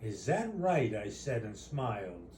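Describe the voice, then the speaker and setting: A man reads a personal short story aloud at a slow, deliberate pace. His voice is mellow and somewhat high for a man. It is recorded in a roomy space at some distance from the mic. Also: sing-song, slight background noise. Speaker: author reading own short story.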